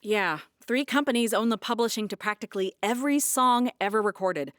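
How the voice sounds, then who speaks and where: A woman's voice: fairly high pitch, brisk, a bit bright, clear, resonant tone, no echo, natural voice. Woman acting as a frank, practical radio bookkeeper, dry sound. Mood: frank, explaining. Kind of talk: naturalistic audio drama dialogue.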